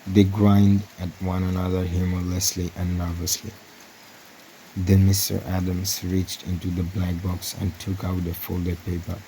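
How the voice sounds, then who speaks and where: A man's voice: deep voice, monotone, a little slow, warm tone, slight background noise, poor audio. A man reading an audiobook story as scripted narration. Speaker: audiobook reader.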